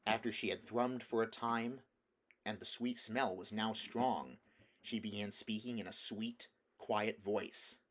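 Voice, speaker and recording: Male audiobook reader, lowish voice, calm and measured. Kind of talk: storybook narration.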